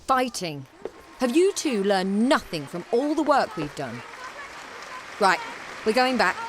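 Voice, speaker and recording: A woman's voice: mid-range voice, sing-song, measured pace, background noise. An actress playing a forthright, impassioned female theatre director in a radio play, impatient and used to being in charge. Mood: commanding.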